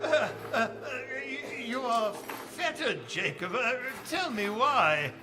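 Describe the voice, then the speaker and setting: High, stammering old miser. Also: male voice, animated, slow pace, loud music behind the voice, full character voice. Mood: fearful pleading. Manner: stammering, quavering, blustering. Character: frightened, crotchety old male miser, defiant then pleading. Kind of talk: radio-style stage audio drama acting.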